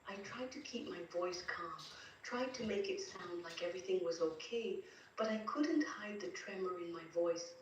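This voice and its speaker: A woman voicing a terrified, grieving wife, telling her story in slow, dramatic first-person narration. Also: on the low side, lightly acted. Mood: frightened. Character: terrified, grieving young wife recounting abuse from beyond death. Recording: distant, very echoey, faint noise.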